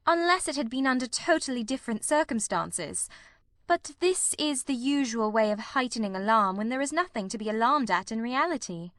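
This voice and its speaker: A woman's voice: on the high side, lively intonation, moderate pace, a bit bright, no room sound, voice pushed up. Blunt sensible girl, woman reader doing her, dry sound. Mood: skeptical. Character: blunt sensible young woman, Mary Ann.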